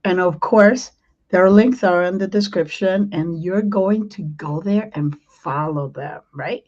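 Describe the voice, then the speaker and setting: A woman hosting a talk show with a hoarse, strained voice, chatty and pausing often with ums and throat clearing. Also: on the low side, darkish tone, dull audio. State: hoarse, losing her voice. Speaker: host.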